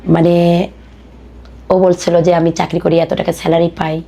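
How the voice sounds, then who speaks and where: A woman with a Bangladeshi accent telling her personal life story as a podcast guest, in colloquial, halting speech. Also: fairly low pitch, flat delivery, vocal fry, dark tone.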